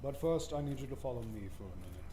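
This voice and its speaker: A man voicing an exasperated, sarcastic undead overlord in a comedic anime parody dub, deadpan with irritated outbursts. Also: medium pitch, very fast, close to an everyday voice. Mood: weary, commanding.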